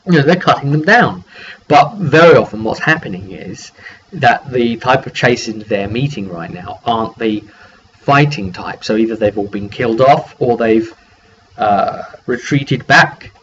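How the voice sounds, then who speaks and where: A man speaking as a game master, casually recapping a tabletop campaign in a rambling, halting way with repeated words and trailing asides. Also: medium pitch. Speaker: game master and vidcast host. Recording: dull lo-fi sound with faint background noise.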